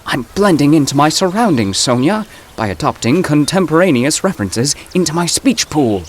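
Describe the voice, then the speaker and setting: A man's voice: on the high side, big pitch swings, normal speed. An actor playing a pompous, bewildered professor out of his own time in a comic audio drama, speaking in florid, formal, theatrical language. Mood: curious, grandiose.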